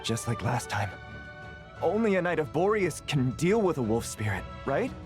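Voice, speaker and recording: An actor playing a brash, wry, loyal knight in a video game. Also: male voice, a little high, sing-song, normal speed, music in the background. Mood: confident.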